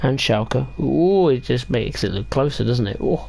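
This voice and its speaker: A man's voice: mid-range voice, lively intonation, normal speed, gravelly, darkish tone. A man casually chatting and rambling while streaming a train simulator game. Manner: chatty, rambling about football tables while driving. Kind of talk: casual gameplay commentary.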